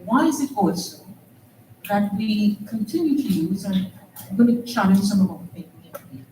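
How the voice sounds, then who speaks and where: A woman with a Trinidadian accent moderates a conference panel, posing earnest rhetorical questions. Her voice is mellow and dark, picked up from a distance in a roomy hall. A faint hum sits behind her. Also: medium pitch, animated, normal speed. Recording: echoey hall, distant mic, faint noise.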